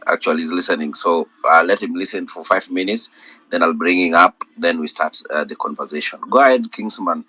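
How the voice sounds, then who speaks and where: Host, man, low voice with a bright edge, brisk and organizing, dry sound. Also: dry recording, poor audio. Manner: brisk, organizing, repeats instructions. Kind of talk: hosting a live audio chat.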